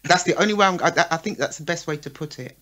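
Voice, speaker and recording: Frank, bright-voiced woman promoter. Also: fairly low pitch, fast talker. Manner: frank, lists names, checks in with "yeah?" and "right?". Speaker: guest, show promoter. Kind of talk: casual interview talk.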